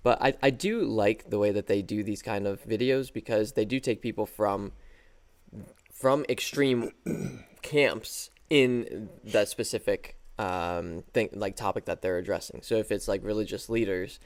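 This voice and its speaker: A man's voice: on the low side, unhurried. A man co-hosting a podcast, explaining a video in an easygoing, casual way. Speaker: podcast co-host.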